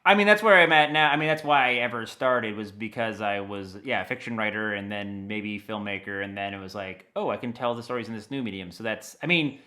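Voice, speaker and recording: American guy in a casual interview, bright voice, kinda rambly and animated. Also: fairly low pitch, brisk, hardly any pauses. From United States. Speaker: interview guest, audio dramatist.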